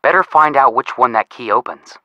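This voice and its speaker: A man's voice: medium pitch, animated, fairly quick, bright, forceful tone, no room sound, dull audio. A young man with an American accent playing a cheeky, casual amateur detective who jokes as he gives video game hints. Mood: cheeky, joking. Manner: joking, casual, slangy. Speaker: detective helper giving hints. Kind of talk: scripted video game voice acting.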